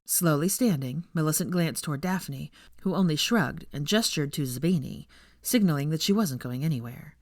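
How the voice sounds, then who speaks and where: A woman reading a story aloud in an expressive scripted narration style. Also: sits low, normal speed, no room sound, studio quality. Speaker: fan fiction narrator.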